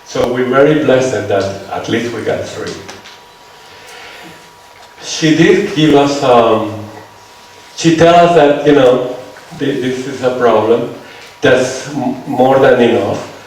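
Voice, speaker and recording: A man with a Venezuelan accent lecturing a seminar, talking in a loose, conversational way and tagging his points with "right?". His voice sits at a middle pitch. It is picked up from far off in a very echoey hall, with faint noise behind. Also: slow, a little mellow. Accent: Venezuelan Spanish. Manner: conversational, checks on listeners with "right?". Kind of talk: lecture with audience questions.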